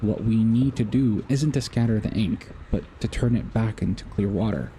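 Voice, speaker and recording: A man's voice: on the low side, normal speed, dark tone, slight background noise, close to an everyday voice. A man voicing an earnest, hesitant mentor from a game as he reads its dialogue aloud. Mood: patient teaching.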